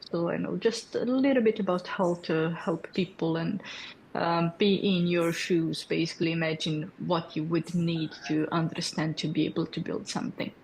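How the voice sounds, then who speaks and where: A woman's voice: on the low side, steady tone, moderate pace, darkish tone, slight background noise, poor audio. A woman in her thirties from Brazil, a native Portuguese speaker with a Brazilian accent, presenting a developer tool in a friendly, explanatory way with fillers and check-in questions. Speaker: developer community lead presenting at a hackathon. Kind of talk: technical presentation.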